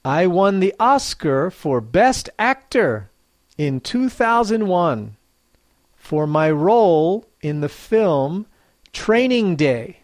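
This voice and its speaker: A teacher running a guessing quiz for learners, reading each clue slowly and clearly, then repeating it. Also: medium pitch, sing-song, darkish tone, dry recording. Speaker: English teacher. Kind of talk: slow quiz dictation for learners.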